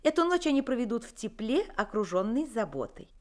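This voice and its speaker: An actress playing a formal 1930s radio news announcer. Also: female voice, a little high, sing-song, a little fast.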